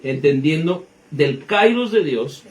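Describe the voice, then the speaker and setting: A man's voice: a little high, lively intonation, takes it slowly, poor audio. A man in his thirties, a pastor, teaching the Bible conversationally, explaining with rhetorical questions and everyday examples. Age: 30s.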